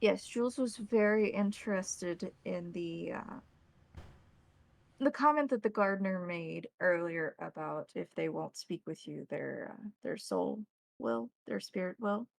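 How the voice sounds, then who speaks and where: A woman running a tabletop roleplaying game as game master, narrating in a measured, deliberate way. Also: on the low side, animated, creaky, no echo.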